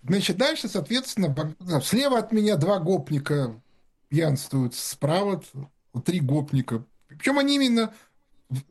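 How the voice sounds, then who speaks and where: A man's voice: high voice, sing-song, unhurried, breathy edge. A man telling rambling anecdotes in casual talk-show conversation, full of fillers and restarts. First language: Russian.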